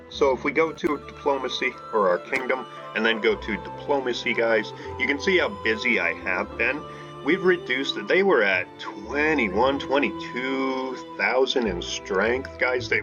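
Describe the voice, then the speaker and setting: A man's voice: mid-range voice, a bit bright, highs cut off. A man hosting a gaming channel, giving casual commentary on his gameplay in a rambling way and often calling his viewers "guys.". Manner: rambling, addresses viewers as guys, frequent uh fillers. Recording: game music playing behind.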